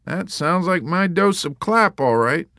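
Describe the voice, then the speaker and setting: A man's voice: high voice, animated, measured pace, pushed and bright, no room sound, studio quality, pitched above the performer's own voice, exaggerated voice. A man voicing a sick warrant officer who laments his bad luck in a whining, aggrieved way.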